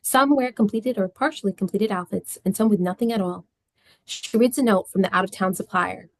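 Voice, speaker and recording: A woman's voice: medium pitch, a little fast, no echo. A woman reading scripted stage directions as a narrator.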